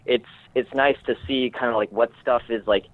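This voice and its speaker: A man chatting casually as an interviewed screenwriter, informal and self-deprecating, often halting as he searches for words. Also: medium pitch, steady tone, dry recording, narrow, tinny audio. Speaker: screenwriter guest.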